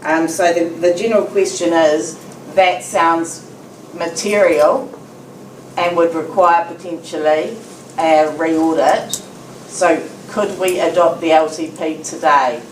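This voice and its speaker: A woman speaking in a council meeting, deliberate and measured as she restates a question. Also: low voice, steady tone. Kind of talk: meeting discussion. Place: council chamber. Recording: echoey chamber from distant mic, faint noise.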